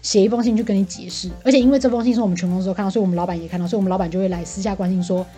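A woman with a Taiwanese accent venting about work in casual chat, animated and indignant, mixing in English phrases. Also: mid-range voice, racing, faint music behind.

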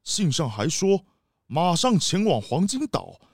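A man playing a polite, genial city mayor in a children's audio drama, courteous and expressive. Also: on the high side, measured pace, no room sound, clean studio sound, full character voice. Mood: worried.

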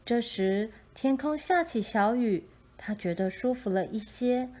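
A woman narrating a children's story from a script in a gentle, expressive storytelling manner. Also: on the high side, a little slow.

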